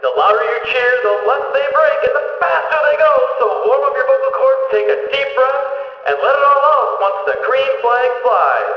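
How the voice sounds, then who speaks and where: A man voicing an excitable, jokey race announcer in a video game, with a booming showman's delivery and drawn-out words. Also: soft background music. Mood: wry, rousing. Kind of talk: arena sports announcing, scripted game voice lines. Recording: echoing PA in a big venue, distant, dull.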